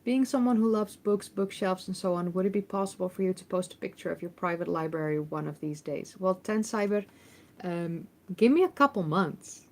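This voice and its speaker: A woman's voice: lowish voice, animated, moderate pace, darkish tone. A woman in her thirties with a Dutch accent, hosting a casual livestream Q&A and reading chat questions aloud, chatty with many ums and restarts. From Netherlands. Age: 30s. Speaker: history YouTuber and host. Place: temporary room in a family home. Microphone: phone microphone.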